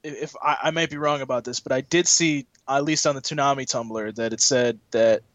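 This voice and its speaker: A man's voice: medium pitch, steady tone, brisk, fairly bright tone, no echo. A man in his late twenties on a casual podcast, asking questions hesitantly with restarts. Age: late 20s. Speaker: podcast co-host.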